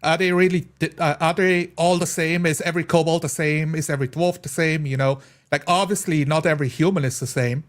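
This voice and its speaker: Higher-voiced man chatting about a tabletop game. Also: fairly even intonation, a little fast, hardly any pauses. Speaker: tabletop role-playing player. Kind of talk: casual group chat about a role-playing game.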